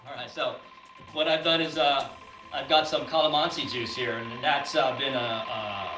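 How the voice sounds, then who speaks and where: A man giving a casual live-stream product talk in a halting, informal way, often repeating words. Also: mid-range voice, steady tone, loud music behind the voice. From born in the Philippines, grew up in the US. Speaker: guest business founder demonstrating a product. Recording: roomy, echoey live stream with loud background noise.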